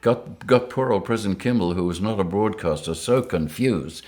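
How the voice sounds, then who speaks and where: An older man from Rhodesia, telling lively anecdotes in an interview and chuckling often. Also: on the low side, moderate pace. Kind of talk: storytelling interview.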